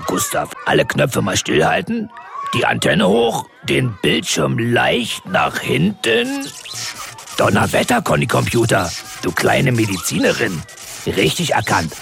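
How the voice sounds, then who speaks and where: A man with a gravelly voice playing a jovial, wisecracking handyman director. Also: on the low side, fairly bright tone, heavily acted.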